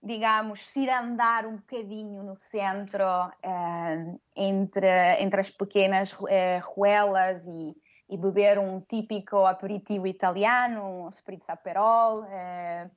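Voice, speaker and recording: A woman from Venezuela and Madeira talks as an interview guest in a mid-range voice. She hesitates often and fills her sentences with pauses and tag questions. The recording sounds dull and muffled. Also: mid-range voice, fairly even intonation. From Venezuela, raised from 12 in Madeira. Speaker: guest, language teacher. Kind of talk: conversational interview.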